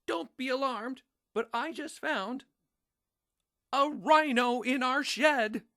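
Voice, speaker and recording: A man's voice: very high voice, slow pace, sharp tone, dry recording, studio quality, voice pushed up, exaggerated voice. A man voicing a concerned, exclaiming mother in a children's storybook, emphatic and dramatic. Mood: alarmed.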